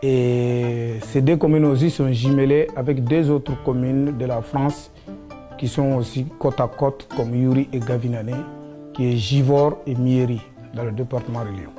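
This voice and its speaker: A man's voice: mid-range voice, fairly even intonation, a little slow, mellow, soft background music. A man with a West African accent answering in a documentary interview, explaining in a hesitant way and repeating his phrases.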